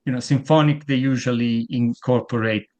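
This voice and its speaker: A man with a thick Italian accent, talking over a video call as an interview guest. He often stammers and repeats the first words of a sentence before finding his way, in a voice of ordinary middle pitch. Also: fairly even intonation, moderate pace, a little mellow. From Italy. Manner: hesitant, repeats words when starting sentences.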